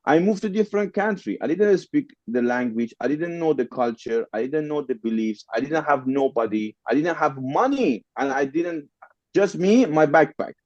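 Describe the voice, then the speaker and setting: A man's voice: fairly high pitch, lively intonation, moderate pace, darkish tone, dry recording, highs cut off. Podcast guest, man, Persian accent, non-native English, repeats lines for emphasis. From Middle East. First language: Farsi. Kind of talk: casual interview storytelling.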